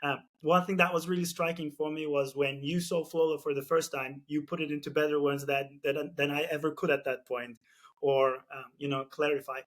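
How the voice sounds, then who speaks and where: A man's voice: fairly high pitch, flat delivery, brisk, a bit bright. A man from Turkey, speaking English with a Turkish accent, hosting a casual business podcast and thinking aloud with many fillers and restarts. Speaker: podcast host.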